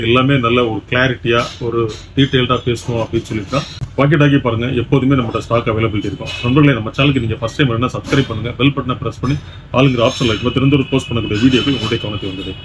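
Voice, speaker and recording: A man with a Tamil Nadu accent giving an emphatic, repetitive product sales pitch, dropping in English words as he presents. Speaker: shop presenter selling electronics. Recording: dull lo-fi sound with faint background noise.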